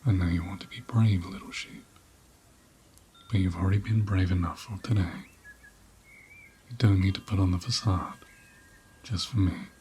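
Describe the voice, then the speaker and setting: A man playing a gentle, caring ram in an ASMR roleplay, speaking in a soft, breathy half-whisper with a soothing, tender manner. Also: low-pitched, steady tone, moderate pace, long pauses, a little hiss or hum. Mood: soothing, reassuring. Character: gentle, caring male ram tending an injured lamb.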